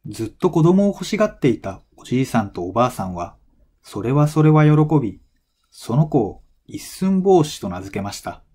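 A man reading a folktale as a scripted narration for language learners, in measured, clearly phrased storytelling. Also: medium pitch, big pitch swings, mellow.

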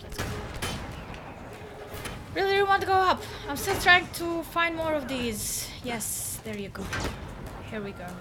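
A young woman from Bosnia and Herzegovina streaming a game live, excitable, with a voice partly lost, on a headset at home. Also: very high-pitched, a little slow, pauses often, heavy background noise. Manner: excitable, shouts and laughs at the action.

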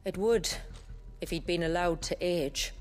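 A woman playing a proud, weary village elder in a video game, with a measured, solemn manner. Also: lowish voice, darkish tone, close to an everyday voice. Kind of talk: video game cutscene acting. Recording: music playing behind the voice.

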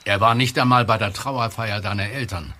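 An actor in a German audio drama playing a gruff, evasive middle-aged uncle, curt and hesitant when pressed. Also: male voice, fairly low pitch, moderate pace, sharp tone, a little hiss or hum. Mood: reluctant, weary.

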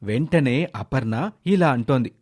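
A man's voice: medium pitch, lively intonation, unhurried, darkish tone. A man narrating a scripted moral story as its storyteller.

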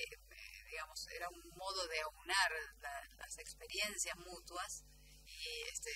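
A woman with a Rioplatense Argentine accent, talking conversationally as a guest in a radio interview. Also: on the low side. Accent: Rioplatense Argentine Spanish. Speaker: guest, radio drama actress and announcer. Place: small radio studio. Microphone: studio table microphone. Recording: noisy with audible hum.

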